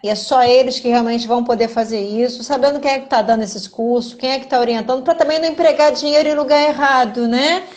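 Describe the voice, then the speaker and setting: A woman from Brazil hosting a live talk for her students, speaking in a measured way as she sums up and thanks them. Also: high voice, fairly even intonation. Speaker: host, instructor. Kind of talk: live chat with audience.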